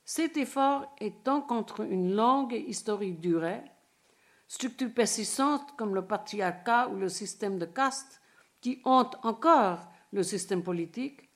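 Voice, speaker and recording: A woman's voice: a little high, a little slow. Older woman lecturing formally. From India. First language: Bengali. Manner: dense formal reading broken by hesitant asides in English. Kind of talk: academic lecture read from a prepared text.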